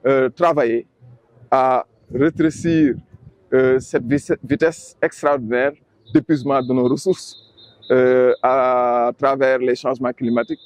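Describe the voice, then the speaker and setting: Halting Senegalese man, close dry sound. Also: mid-range voice, darkish tone, no echo. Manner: halting, deliberate, explanatory. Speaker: regional development agency planning official. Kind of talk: formal press statement.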